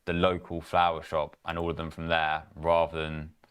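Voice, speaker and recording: Young man, very low voice, relaxed offhand co-host. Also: normal speed, clear, resonant tone. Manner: relaxed, offhand, casual phrasing. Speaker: co-host at a trading firm. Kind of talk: casual chat.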